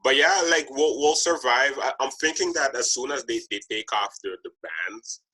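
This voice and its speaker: A man hosting a podcast interview in casual chat, with a hesitant, halting, repetitive way of talking. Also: medium pitch, animated, bright, forceful tone, breathy edge, low-quality recording. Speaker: podcast host interviewing a guest.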